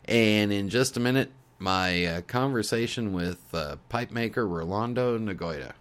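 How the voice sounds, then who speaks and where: Male podcast host, casual, friendly, lowish voice, faint noise. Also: unhurried. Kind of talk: podcast interview.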